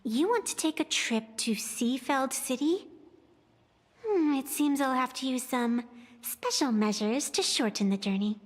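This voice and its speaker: A woman's voice: high voice, lively intonation, unhurried. An actress voicing a cheeky, imperious female trickster in a video game, breezy, showy and teasing. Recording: long reverb, music underneath.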